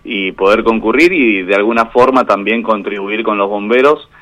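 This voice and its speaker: Argentine male radio guest, low-pitched, unhurried, full of fillers. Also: fairly bright tone. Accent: Argentine Spanish. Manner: measured, hesitant, many fillers. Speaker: boxing referee guest. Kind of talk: phone interview on radio. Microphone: phone line.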